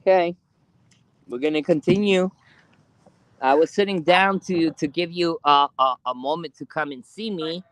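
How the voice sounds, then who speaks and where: Halting man calling out to spirits. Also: on the high side, a bit bright, smooth. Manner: halting, many fillers, calls out to spirits. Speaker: ghost hunter streaming live. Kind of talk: live paranormal investigation stream. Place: cemetery outdoors at night.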